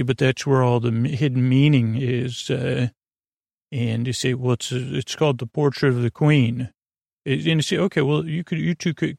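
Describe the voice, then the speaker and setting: Creaky, meandering man in a dry recording. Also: medium pitch, darkish tone, no room sound. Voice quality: creaky, gravelly. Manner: meandering, halting, tangential, with trailing unfinished sentences. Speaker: podcast host. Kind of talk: rambling bedtime monologue to help listeners fall asleep.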